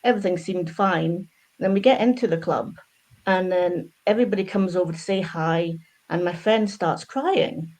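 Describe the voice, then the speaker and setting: A woman who grew up in Scotland, a guest on a podcast, calmly telling a personal story. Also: fairly low pitch, normal speed. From Scotland, from about age ten. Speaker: guest, anti-racism consultant.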